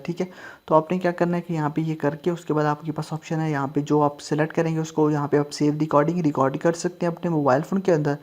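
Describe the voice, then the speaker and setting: A man's voice: a little high, darkish tone. Man giving a casual app tutorial. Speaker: host of a tech tutorial channel.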